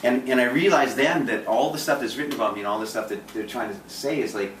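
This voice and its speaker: A man's voice: mid-range voice, quick pace, a bit bright. A man talking in a casual group chat as a dating coach, intense and direct, with lots of restarts. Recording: echoey room from a distance, faint noise.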